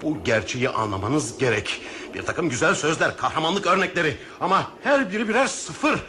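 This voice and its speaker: A man's voice: fairly high pitch, sing-song, a little fast, bright, forceful tone, slight background noise. An actor playing a cynical, mocking, fatalistic male train passenger in a radio play, with a sardonic, philosophising manner. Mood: scornful, mocking. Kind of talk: radio theatre acting.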